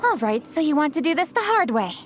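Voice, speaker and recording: High-voiced, commanding noblewoman. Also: big pitch swings, fast talker, over music. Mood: combative. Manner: authoritative, crisp orders. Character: commanding noblewoman official, brisk and self-assured. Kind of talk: English video game voice acting.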